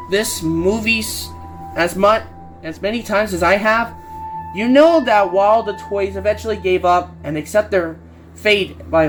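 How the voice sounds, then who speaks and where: A man reading a creepypasta aloud, slowly and haltingly, often restarting words and stepping out of the story to talk to his listeners. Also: high-pitched. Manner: slow, halting reading with frequent restarts and self-corrections; breaks off to address listeners with casual asides. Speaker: creepypasta reader.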